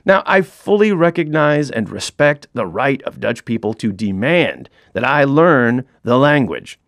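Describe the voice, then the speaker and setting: Middle-aged man, dry close studio read, animated swoops in pitch, wry self-deprecating comic narrator. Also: a little slow, gravelly, no echo. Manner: wry, self-mocking storyteller. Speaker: author reading his own audiobook.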